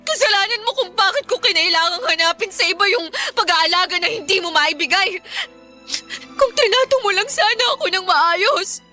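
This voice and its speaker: A woman's voice: very high voice, animated, normal speed, bright, forceful tone, soft background music, exaggerated voice. A Filipino woman playing a guilty, defensive wife in an emotional Tagalog radio drama. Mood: angry, hurt. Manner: emotional, hesitant then heated. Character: guilty, defensive unfaithful wife pleading and lashing out.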